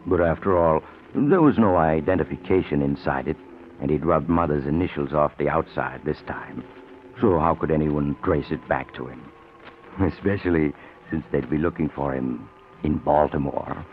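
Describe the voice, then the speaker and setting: A muffled, faintly noisy old radio recording of an extremely deep-voiced mystery host. He narrates in a wry, confiding way, darkly amused and sardonic, in 1940s style. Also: normal speed. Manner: wry, confiding, macabre humour.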